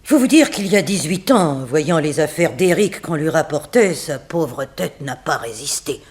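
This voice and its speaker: Expressive, low-voiced composed woman. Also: moderate pace. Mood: somber. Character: composed, unsentimental woman recounting a family tragedy. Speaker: actress in a radio serial. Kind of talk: 1960s French radio mystery acting.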